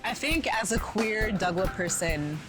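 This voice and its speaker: A woman's voice: mid-range voice, expressive, measured pace, music in the background. A woman speaking earnestly and reflectively as a documentary interviewee.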